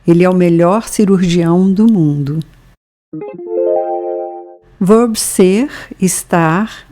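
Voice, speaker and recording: A woman with a Brazilian accent leads a scripted language lesson. She reads each phrase very slowly and deliberately, leaving room for the listener to repeat it. Her voice is mellow and sits a little low. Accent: Brazilian Portuguese. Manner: slow, careful bilingual reading with pauses for repetition. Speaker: language teacher.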